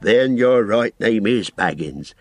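A man's voice: fairly low pitch, expressive, dry recording, voice pushed up, heavily acted. Man putting on a fussy rambling innkeeper. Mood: apologetic fretful. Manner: rambling, flustered, drawn-out phrasing. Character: fussy, forgetful, anxious old male innkeeper.

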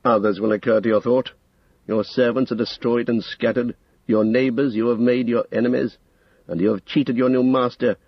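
A man plays a grave old male wizard from a fantasy storybook, stern and full of authority. The voice is husky and weathered, at a medium pitch. It is recorded dry and a little dull. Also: no echo.

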